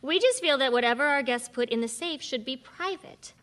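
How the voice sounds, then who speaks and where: A woman's voice: high-pitched, lively intonation, brisk, a bit bright. An actress playing a practical, wry woman who runs a hotel, in a businesslike manner, in a radio mystery. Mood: explaining. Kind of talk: radio mystery drama acting.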